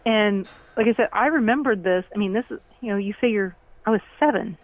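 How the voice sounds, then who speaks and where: A woman's voice: mid-range voice, a little fast. A woman in her fifties, a podcast guest chatting casually and recalling her life in a plainspoken, teasing way. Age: 50s. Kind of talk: casual chat, personal recollection. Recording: thin, phone-like call audio.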